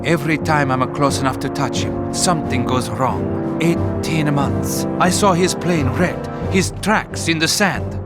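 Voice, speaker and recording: An actor playing a pious, wry Italian officer in a video game cutscene, with an Italian accent and theatrical, expressive phrasing. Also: male voice, a little high, unhurried, hardly any pauses, natural voice. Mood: anxious, brooding. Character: pious, wry Italian tank officer, anxious about his brother. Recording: loud music under the voice.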